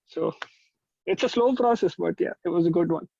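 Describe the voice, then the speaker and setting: A young man with an Indian accent talks in a high voice, casual and rambling with plenty of enthusiasm. The sound is dry, close and a little dull. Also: normal speed, creaky, dark tone, no echo. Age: young adult. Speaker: musician guest. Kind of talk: casual chat, reminiscing.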